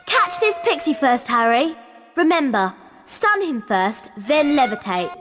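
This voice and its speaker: A woman's voice: high-pitched, big pitch swings, unhurried, big-room sound, music in the background. A woman voicing a confident, bossy schoolgirl witch in a video game, with an instructive manner. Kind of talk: video game cutscene acting.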